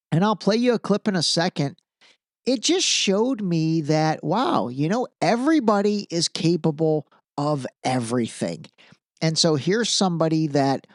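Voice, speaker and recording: A man's voice: a little high, dry recording, studio quality. A man hosting a podcast, giving casual, opinionated commentary in an informal, emphatic way, repeating phrases for emphasis. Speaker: podcast host.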